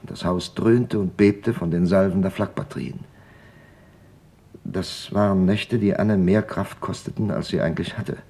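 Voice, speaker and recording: A very low-voiced middle-aged father gives testimony in a radio drama. He is quiet and sober, recalling the past in measured phrases. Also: steady tone. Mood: somber, remembering. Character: grieving middle-aged father, a quiet survivor remembering hiding.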